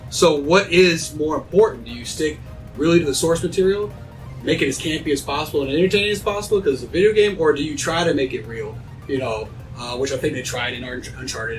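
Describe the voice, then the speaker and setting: A man chatting casually on a panel, telling things in an animated way and acting out imagined quotes. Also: mid-range voice, distant mic, poor audio. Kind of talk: casual chat.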